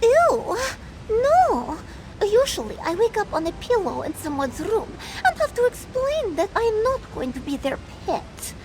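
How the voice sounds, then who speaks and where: Tiny sassy cat-woman party girl for a game: very high, slow drawl, lively swoops, noisy backdrop. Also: exaggerated voice. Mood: indignant. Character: small sassy party-loving cat woman, indignant and flippant. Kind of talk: AI-voiced video game quest dialogue.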